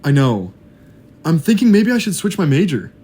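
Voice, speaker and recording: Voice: medium pitch, very animated, moderate pace, warm tone, husky. An actor playing an anxious young college student in an audio drama, hesitant and pleading. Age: young adult. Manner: hesitant, worried.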